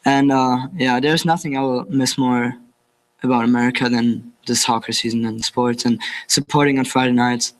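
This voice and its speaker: A teenage German exchange student with a German accent, speaking hesitantly with many fillers at a public meeting. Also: male voice, medium pitch, steady tone, normal speed, low-quality recording. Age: teens. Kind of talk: thank-you speech at a public meeting.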